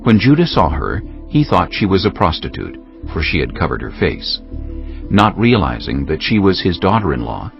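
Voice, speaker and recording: A slightly dull recording with light music behind a man narrating scripture. His low voice moves expressively in pitch, measured and solemn in a dramatic storytelling style. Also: sing-song, gravelly.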